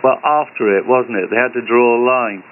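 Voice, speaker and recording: A man's voice: mid-range voice, steady tone, moderate pace. An older man with a British accent chatting casually over ham radio, hesitant, wry and teasing. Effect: ham radio transmission. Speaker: amateur radio operator. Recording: tinny shortwave radio link with hiss.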